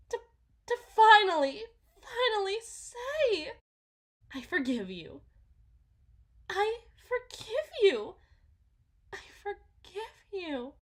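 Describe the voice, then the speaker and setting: A woman playing a delusional, possessive mother in a dramatic ASMR roleplay, halting and repeating herself theatrically, shifting between hushed and intense. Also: extremely high pitch, exaggerated voice. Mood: impassioned forgiveness. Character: delusional, possessive mother smothering her supposed lost child.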